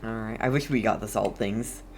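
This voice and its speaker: A game streamer giving casual, slangy gameplay commentary, muttering in a halting way. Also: mid-range voice, darkish tone, a little hiss or hum.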